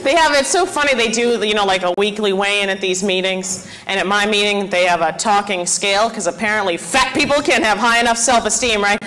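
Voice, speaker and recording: A young woman in her twenties performing a self-deprecating stand-up comedy set on a club stage, with deadpan punchlines and chatty asides to the crowd. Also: medium pitch, fairly quick, hardly any pauses, low-quality recording. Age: 20s.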